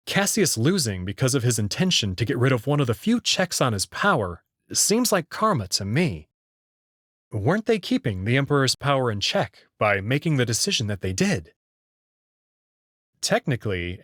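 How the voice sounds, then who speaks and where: A man narrating a visual novel read-through as its host, in an expressive, scripted storytelling style. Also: medium pitch, dry recording, clean studio sound. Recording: music behind the voice. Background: background music.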